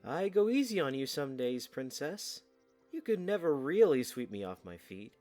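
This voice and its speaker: A man's voice: medium pitch, very animated, moderate pace, mellow, soft background music, natural voice. A man playing a loyal, protective young royal guard in an audiodrama. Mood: teasing. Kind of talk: audiodrama acting.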